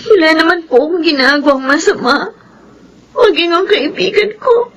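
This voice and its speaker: An actress with a Filipino accent playing a hurt, pleading teenage daughter in a radio soap. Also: female voice, very high-pitched, lively intonation, normal speed, fairly bright tone, full character voice, rough recording. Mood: tearful, pleading.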